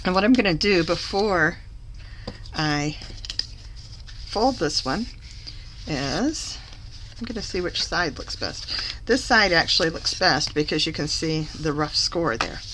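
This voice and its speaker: A woman demonstrating a papercraft project in a live tutorial, her voice a little hoarse from a lingering cough. She talks slowly and chattily as she works, correcting herself as she goes, with a fairly low voice and steady noise under the recording. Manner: chatty, self-correcting, talks while working. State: recovering from a cough, slightly hoarse.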